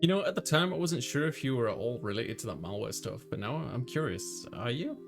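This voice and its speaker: An easygoing young male student from a visual novel, read by a man. He is thoughtful and casual, with lively intonation at a middle pitch, and music plays clearly behind him. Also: a little fast. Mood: curious.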